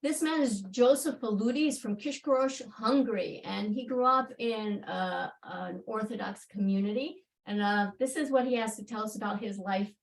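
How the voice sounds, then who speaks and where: A woman's voice: medium pitch, normal speed, few pauses, reverberant, recorded from a distance, poor audio. A woman giving an online lecture in a conversational way, with frequent fillers and self-corrections, talking over a video call from a home office. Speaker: educator giving a webinar talk. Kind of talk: lecture reading oral-history excerpts aloud.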